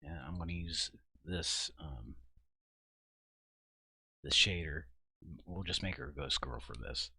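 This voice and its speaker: A man giving an informal software walkthrough at his computer, thinking aloud in a halting, casual way. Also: very deep voice, creaky, slightly rough, no echo, studio quality. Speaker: video creator and host. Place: indoors at a computer.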